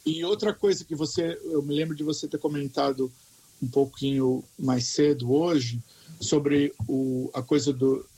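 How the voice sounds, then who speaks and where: A Brazilian man with a Brazilian Portuguese accent speaks in an earnest, reflective way during a casual conversation. His voice is slightly husky and somewhat high for a man. He often stops and restarts his sentences as he thinks. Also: steady tone, a little slow, dark tone, poor audio. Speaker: teacher.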